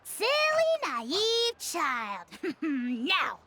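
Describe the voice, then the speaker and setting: Pompous little creature, high and taunting. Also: female voice, very animated, very slow, pushed and bright, full character voice. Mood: gloating. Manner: grandiose, taunting. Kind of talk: video game dialogue.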